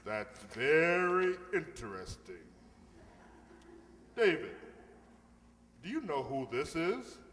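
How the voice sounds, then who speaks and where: A man's voice: on the high side, sing-song, takes it slowly, pauses often, a bit bright, slight background noise, full character voice. An actor playing a pompous, blustering wealthy older father in an old-time radio comedy. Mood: stunned, dry. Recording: echoey hall, stage radio play.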